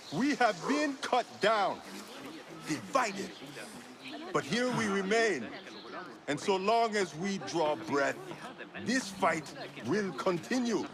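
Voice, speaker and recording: An actor playing an impassioned male rebel leader giving a deliberate, declamatory battle speech in a video game. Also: high voice.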